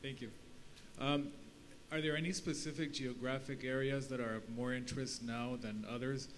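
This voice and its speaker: A man's voice: medium pitch, steady tone, moderate pace. A man from Guatemala putting a spontaneous question to a conference panel from the audience. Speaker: audience member asking a question. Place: conference audience, handed microphone. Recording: faint hum and background noise.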